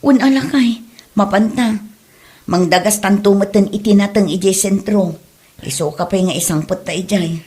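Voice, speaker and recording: A woman's voice: medium pitch, normal speed, mellow, natural voice. A woman playing a chatty, nosy married villager in an amateur comedy drama, with a Filipino Ilocano accent. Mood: chatty, complaining.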